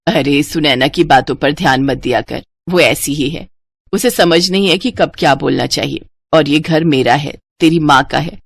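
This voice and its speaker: A woman voicing a protective, consoling widowed mother of grown children in an animated Hindi family story. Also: deep voice, normal speed. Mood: consoling, firm.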